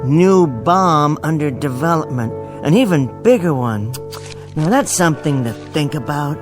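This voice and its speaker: An actor playing a meek, bookish middle-aged husband in a radio drama, stammering and politely apologetic. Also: male voice, a little high, animated, a little slow, over music. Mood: absent-minded, placating. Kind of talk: old-time radio drama acting.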